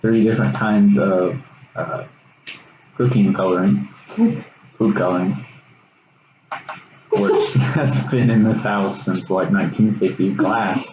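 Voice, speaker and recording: A man's voice: on the low side, steady tone, takes it slowly, pauses often, a little mellow. A young man with a Texas accent gives an informal science demonstration as a student presenter, explaining casually and a bit hesitantly. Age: young adult. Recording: roomy echo, dull sound, faint noise.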